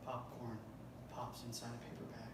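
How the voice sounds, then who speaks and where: A man reading screenplay stage directions aloud in a deliberate, atmospheric narrating style at a table read, recorded in a reverberant room. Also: mid-range voice, completely flat delivery. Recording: very echoey room, distant mic, noisy background.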